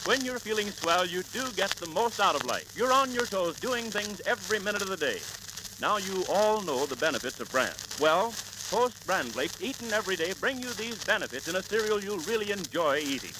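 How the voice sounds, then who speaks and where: Male radio announcer, 1930s folksy sales pitch, high lively voice, crackly old disc recording. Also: brisk. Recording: crackly, noisy 1938 radio transcription disc.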